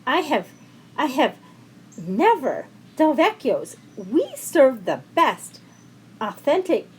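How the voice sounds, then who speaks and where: A woman's voice: high voice, very animated, takes it slowly, a little hiss or hum, voice pushed up, exaggerated voice, rough recording. A woman voicing a proud, flustered male waiter in an audio story, emphatic and defensive. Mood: aghast, stammering. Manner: stammering and emphatic when challenged.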